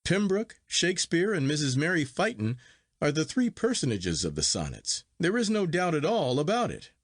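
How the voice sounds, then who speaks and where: A man voicing a curious, self-assured younger gentleman in a storybook reading, exclamatory and inquisitive. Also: a little high, big pitch swings, moderate pace, no echo, clean studio sound, voice pushed up. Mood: confident. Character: eager, curious younger gentleman, excitable and sure of himself. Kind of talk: acted dialogue in storybook reading.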